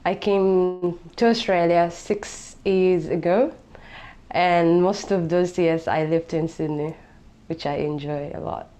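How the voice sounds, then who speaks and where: A woman's voice: lowish voice, fairly even intonation, slow. A young woman from Somalia telling her own story in an interview, reflective, with lots of "you know" fillers. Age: young adult. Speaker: interviewee, refugee.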